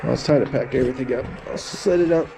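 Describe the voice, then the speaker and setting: A middle-aged man giving a casual, halting, folksy account as he vlogs outdoors at a park. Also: medium pitch. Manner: halting, folksy, stop-and-start storytelling. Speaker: amateur radio operator vlogging. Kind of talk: casual rambling outdoor vlog. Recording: clear ambient noise outdoors. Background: ambient outdoor noise.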